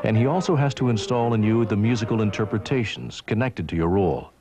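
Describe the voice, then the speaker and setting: A man's voice: on the low side, fast, over music. A man speaking in a scripted, explanatory style.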